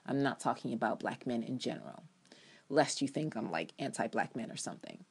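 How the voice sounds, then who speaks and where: A woman's voice: extremely low pitch, expressive, a little fast, creaky, slightly rough. A woman giving a dramatic, emphatic reading of her own opinionated TV review. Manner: emphatic, opinionated, acts out quotations. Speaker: TV show reviewer.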